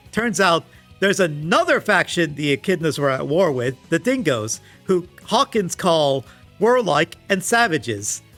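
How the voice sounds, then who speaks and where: A man's voice: a little high, fairly bright tone. A man delivering scripted comedic commentary in a sarcastic, exasperated way with emphatic swings. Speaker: video essayist reviewing comics. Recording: music playing behind the voice. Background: background music.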